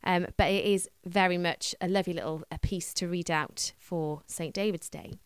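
A woman's voice: fairly low pitch, steady tone, normal speed, smooth, dry recording. A woman with a Welsh accent hosting a radio show, chatting casually with fillers and restarts. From Wales.

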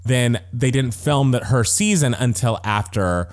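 A young American man co-hosting a casual pop culture chat, retelling things in a gossipy, animated way with acted-out quotes. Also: medium pitch, a little slow. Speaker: podcast co-host.

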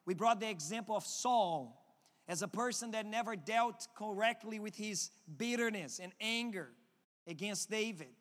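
A man's voice: high voice, a bit bright. A man with a Portuguese accent, a native Portuguese speaker, preaching a sermon earnestly and often restarting his words. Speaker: pastor. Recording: long hall reverb.

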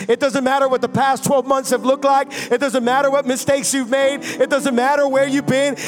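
A young man from Miami preaching a Christmas sermon, moving between rousing calls and quiet personal talk. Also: very high voice. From United States (Miami). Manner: rousing preaching, swinging from loud and fervent to quiet and intimate. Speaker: pastor.

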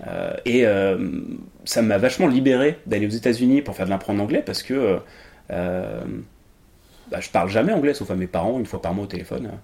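A man's voice: on the low side, brisk, gravelly, darkish tone. A young man from England whose first language is English, speaking in a casual interview with many fillers and restarts. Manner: hesitant, many fillers and restarts, laughs easily. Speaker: interview guest, improv actor.

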